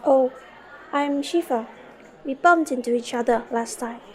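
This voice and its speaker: A woman's voice: sits high, unhurried. A woman playing a curious, earnest young student in an amateur radio drama, narrating and acting out her story. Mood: curious, friendly.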